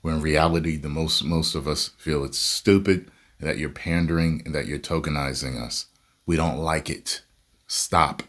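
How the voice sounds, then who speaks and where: Very deep-voiced man reacting casually. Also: moderate pace. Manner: laughs often, animated asides. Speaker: reaction host.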